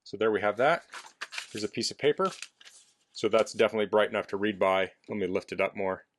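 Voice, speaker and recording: Low-voiced man giving a casual product demo. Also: fast. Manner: plainspoken, explanatory. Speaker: product reviewer. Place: indoors in a basement.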